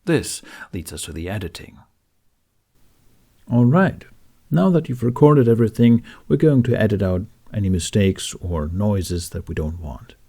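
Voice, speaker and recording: A man narrating a how-to tutorial, explaining things step by step with deliberate phrasing and pauses. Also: lowish voice, lively intonation, gravelly, mellow, husky. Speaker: tutorial host. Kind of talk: instructional narration for a how-to video.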